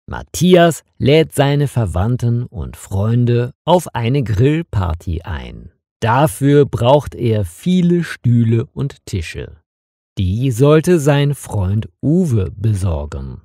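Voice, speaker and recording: Mellow, expressive man reading slowly. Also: medium pitch, dry recording, clean studio sound. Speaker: story narrator for language learners. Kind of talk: slow scripted narration with acted dialogue.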